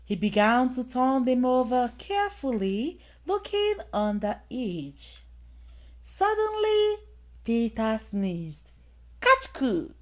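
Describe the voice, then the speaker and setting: A woman reading a children's bedtime story aloud in an expressive manner, with dramatic emphasis. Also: a little high, slow pace. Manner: expressive, dramatic emphasis with acted sound effects.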